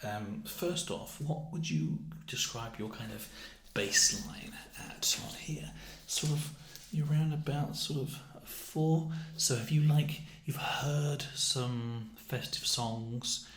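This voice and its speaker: A soft-spoken British man in an ASMR roleplay as a clerk, talking haltingly and a little awkwardly, often dropping close to a whisper. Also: medium pitch, reverberant, a little hiss or hum. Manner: hesitant, gently awkward, halting delivery. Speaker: ASMR roleplayer playing a festive assessment clerk. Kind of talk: ASMR roleplay, improvised personal-attention chat.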